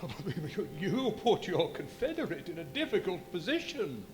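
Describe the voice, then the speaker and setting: An actor in a live radio play playing an anxious, alarmed doctor, with an agitated, stammering, pleading manner, in an echoey venue. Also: male voice, high voice, sing-song, moderate pace, music in the background. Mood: nervous, wary. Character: anxious, alarmed male doctor, horrified by a madman. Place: live performance in a brewery venue.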